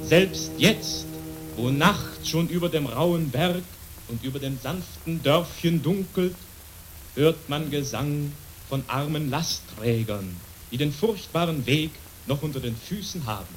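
A solemn storyteller in a radio play, with a fairly high voice. The delivery is poetic and literary, and music plays clearly underneath. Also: male voice, animated, a little slow, rough recording. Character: narrator of a tale about poor mountain porters. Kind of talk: literary radio drama narration.

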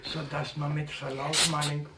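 A man's voice: fairly high pitch, monotone, normal speed, close to an everyday voice. A man plays a bumbling manservant in a classic radio comedy. He speaks humbly and evenly with little rise or fall, in a roomy, noisy recording. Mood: humble, sheepish. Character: humble, bumbling male household servant. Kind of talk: classic stage comedy in German radio drama.

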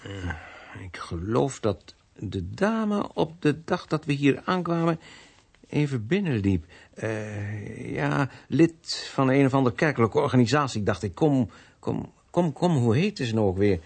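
An actor playing an earnest vicar in a radio play, husky and often searching for words. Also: male voice, medium pitch, very animated, measured pace, a little mellow, full character voice. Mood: searching, hesitant. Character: earnest, hesitant male vicar, new to his parish. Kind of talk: Dutch radio play acting.

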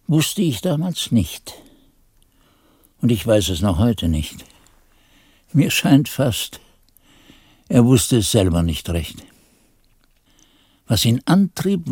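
Aged pious monk chronicler, radio play. Husky, dark and soft tone, solemn formal delivery. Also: male voice, medium pitch, dry recording, studio quality, exaggerated voice. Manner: solemn, slow-phrased, archaic formal diction. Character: pious aged Benedictine monk, frail chronicler of his youth. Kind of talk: radio drama narration.

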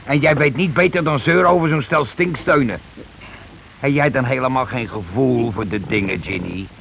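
An actor playing a gruff, bossy, irritable working-class husband in a radio play, with coarse, nagging, impatient talk. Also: male voice, mid-range voice, normal speed, fairly bright tone. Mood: agitated, scolding. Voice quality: coarse colloquial speech. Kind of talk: naturalistic radio play acting. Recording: dull, noisy old radio recording.

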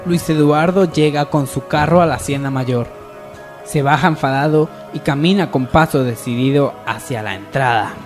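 High-ish male radio soap narrator. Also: moderate pace, soft background music.

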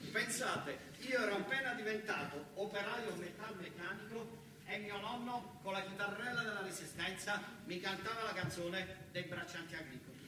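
High-voiced southern Italian man, echoey stage storytelling. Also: moderate pace, background noise. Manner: emphatic, repetitive, animated storytelling. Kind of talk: theatrical monologue in dialect-tinged speech, with folk song. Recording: echoey, distant stage recording with music.

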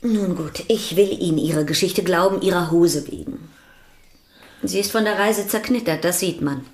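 A woman's voice: fairly low pitch, moderate pace, darkish tone, close to an everyday voice. Woman doing a prim suspicious housekeeper, curt questions, echoey room sound. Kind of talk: radio play acting.

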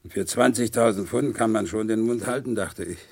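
Gravelly, broken man confessing. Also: lowish voice, a little fast, darkish tone. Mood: resigned confession. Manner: resigned, repeats himself bitterly. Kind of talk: 1970s German radio crime play acting.

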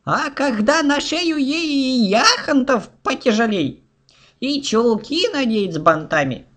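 Woman playing ancient man-eating witch, high voice, slow taunting rhymes, boastful, fairy-tale audio play. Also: expressive, fairly bright tone, full character voice. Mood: menacing, instructive.

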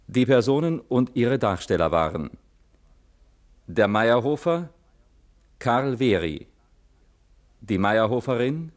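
A man working as a radio announcer, reading scripted credits in a measured, formal 1950s broadcast manner. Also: medium pitch, expressive.

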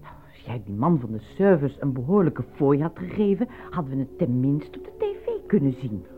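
An actress in a Dutch radio play voicing a worn-out working wife who speaks plainly and reproachfully. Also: female voice, extremely low pitch, lively intonation, fairly quick. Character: nagging, worn-out working wife in a dystopian city. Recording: dull lo-fi radio recording, faint music.

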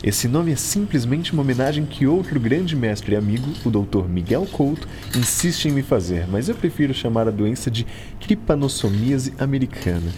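An actor playing a modest, enthusiastic early twentieth-century scientist in a radio drama, with a Brazilian accent and a formal, didactic manner. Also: male voice, medium pitch, lively intonation, moderate pace, hardly any pauses, dark tone, close to an everyday voice. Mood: modest, courteous. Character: modest, enthusiastic early-1900s male scientist explaining discoveries. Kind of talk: educational radio drama acting. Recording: noisy ambience behind studio radio drama.

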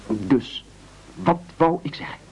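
A man's voice: on the high side, expressive, slow, a little mellow, faint music behind. An actor playing a meek, eager man who nobly offers himself up as a sacrifice, stammering and speechifying self-importantly in an absurdist radio play. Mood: noble, elated. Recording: muffled old radio broadcast recording.

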